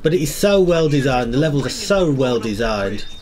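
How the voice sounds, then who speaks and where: Guy rambling over his gameplay, kinda croaky, voice all over the place, bit of hiss. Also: medium pitch, big pitch swings, normal speed, a little mellow. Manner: chatty, enthusiastic, rambling. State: slightly croaky, under the weather. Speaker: gameplay commentator. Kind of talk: casual gameplay commentary.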